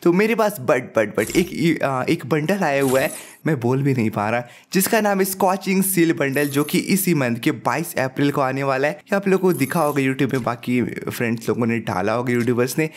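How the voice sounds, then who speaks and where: A young man with a sore throat giving excited live commentary over his own mobile battle royale match, hyping up his kills and laughing often, speaking into a headset at home. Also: mid-range voice, normal speed, slight background noise. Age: young adult. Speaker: gaming YouTuber. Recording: game gunfire behind.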